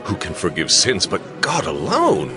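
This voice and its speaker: Man acting very deep, indignant Pharisee scribe. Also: huge pitch swings, moderate pace, over music. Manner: challenging, indignant. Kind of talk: dramatized scripture audio acting.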